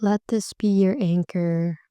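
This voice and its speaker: Slow, soothing woman guiding meditation. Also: mid-range voice, fairly even intonation, smooth, dry recording. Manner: slow, soft and soothing, drawn-out phrases. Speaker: meditation guide. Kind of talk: guided meditation.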